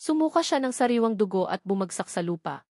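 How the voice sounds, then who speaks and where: Woman, audiobook narrator, scripted novel reading, mid-pitched voice, dry close recording. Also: animated, fairly quick, dark tone, no echo.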